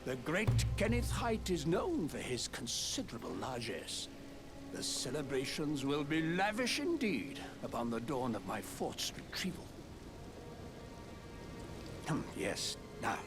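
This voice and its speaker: A man's voice: medium pitch, sing-song, a little slow. An actor playing a pompous, self-important nobleman in a fantasy game, haughty and theatrical in his delivery. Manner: haughty, theatrical, grandiose declamation. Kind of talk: scripted fantasy game acting. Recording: background music under the voice.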